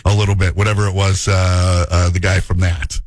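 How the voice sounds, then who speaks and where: A man's voice: low-pitched, level tone, brisk, no echo, studio quality. A man with an Upper Midwestern accent, hosting a radio show and chatting casually, joking and laughing often.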